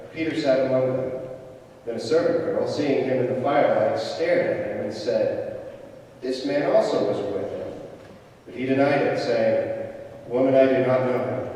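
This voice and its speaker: A man's voice: mid-range voice, dark tone. A man reading scripture solemnly to a congregation in a church service. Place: large church during a service. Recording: echoey church, distant, faint noise.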